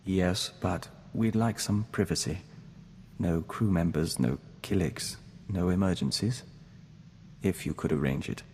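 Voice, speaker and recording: Low-voiced, serene mystical diplomat. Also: male voice, darkish tone, long reverb tail, slight background noise. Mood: gentle, sincere. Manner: measured, formal, speaks of himself as we. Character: serene, courteous male hive-bonded diplomat, mystical and devoted. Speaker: companion character in a video game. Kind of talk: scripted game dialogue.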